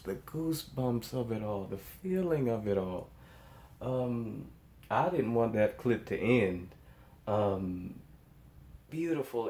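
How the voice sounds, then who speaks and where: A man's voice: on the low side, dark tone, roomy sound. A man giving casual, awestruck reaction commentary as a host, emphatic and often repeating phrases for effect. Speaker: host of a music reaction show.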